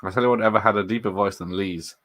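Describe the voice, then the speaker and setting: Man, lowish voice, rambling movie-night commentary, chatty, laughs a lot. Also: fairly even intonation, quick pace, a bit bright. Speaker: watch party host. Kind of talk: casual live movie commentary.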